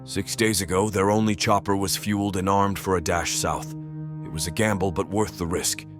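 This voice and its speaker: Deep-voiced military man in zombie drama actor. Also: steady tone, moderate pace, music in the background, natural voice. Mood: grave briefing. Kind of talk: dramatic audio comic acting.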